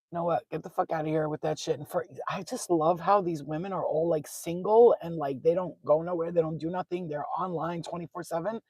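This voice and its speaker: A woman from Albania with a husky, rough-edged voice, recorded dry and close. She talks off the cuff to her online followers as a card reader and advice giver. Her manner is blunt and sweary, and she drives points home by repeating them. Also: on the low side, mellow, dry recording, low-quality recording. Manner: blunt, profane, repeats herself for emphasis. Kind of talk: informal, unscripted talk to followers.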